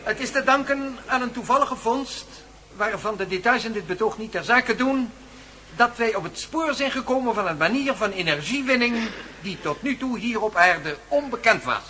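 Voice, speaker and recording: A man's voice: very high voice, animated, normal speed, bright, forceful tone, a little hiss or hum, exaggerated voice. An actor playing an idealistic, determined male professor in a radio play, speaking emphatically and declaiming. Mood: formal oratory.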